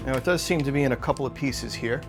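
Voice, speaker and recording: A man's voice: medium pitch, fast, gravelly, over music. A man hosting an unboxing video, chatting casually and enthusiastically in an unscripted product review style. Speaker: collectibles unboxing video host.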